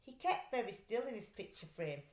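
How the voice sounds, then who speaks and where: A woman reading a story aloud for children in an expressive, deliberate, dramatic way. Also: fairly high pitch. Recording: roomy echo.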